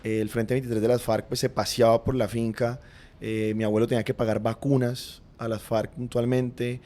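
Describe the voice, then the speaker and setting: A man with a Colombian accent, a podcast guest, reflectively recounting his family's past in an earnest, unhurried way. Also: mid-range voice, little pitch movement, dark tone. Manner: earnest, reflective, frequent "digamos" and "pues" fillers. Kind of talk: personal storytelling in conversation.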